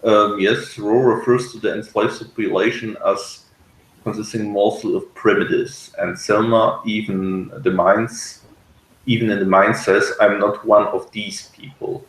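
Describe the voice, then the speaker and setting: A man reviewing a TV episode in casual, analytical discussion, speaking haltingly as he searches for words. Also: lowish voice, level tone, reverberant, poor audio. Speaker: podcast reviewer.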